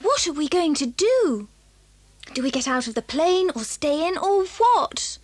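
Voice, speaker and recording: Practical alert schoolgirl, high voice, animated intonation, children's adventure drama, dry sound. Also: a little slow, dry recording. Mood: worried. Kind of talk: children's audio drama acting.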